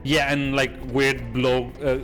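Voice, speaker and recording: A man's voice: medium pitch, little pitch movement, slow pace, a bit bright, soft background music. A man giving casual live game commentary, rambling and joking as he chats to his viewers. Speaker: game streamer.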